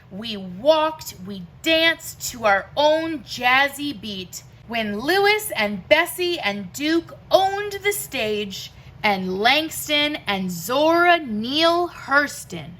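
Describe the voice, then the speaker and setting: Very slow, bright-voiced woman reading to children. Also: sits high. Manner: deliberate, emphatic phrasing with pauses. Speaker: teacher reading a picture book aloud. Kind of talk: expressive children's read-aloud of rhyming verse.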